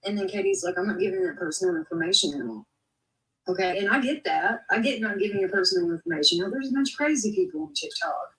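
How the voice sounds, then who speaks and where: A woman's voice: mid-range voice, expressive, quick pace, a bit bright. Woman, frank and opinionated, slightly distant from the mic. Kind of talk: casual chat, reading comments aloud.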